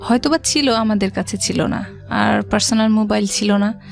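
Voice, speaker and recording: A woman's voice: mid-range voice, normal speed, vocal fry. A middle-aged woman telling her life story as a studio guest, conversationally, repeating and rephrasing as she goes. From Rajshahi, Bangladesh. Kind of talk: personal life-story narration. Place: radio studio. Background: music.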